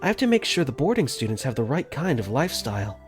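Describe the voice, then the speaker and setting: Guy doing a caring young dorm guy, narrating his thoughts, lively up and down, soft music behind. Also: mid-range voice, fast talker, lightly acted. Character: earnest young man, caring dorm caretaker. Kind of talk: first-person visual novel narration. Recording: faint music under the voice.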